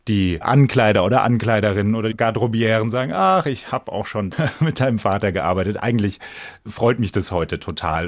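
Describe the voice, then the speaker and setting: A man speaks in a fairly low voice, in the relaxed phrasing of a conversational interview. He reflects as he goes, thinking aloud about his answers. The sound is very dry, with no room around it. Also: no echo. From Bensheim, Hesse, Germany. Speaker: interview guest, stage actor.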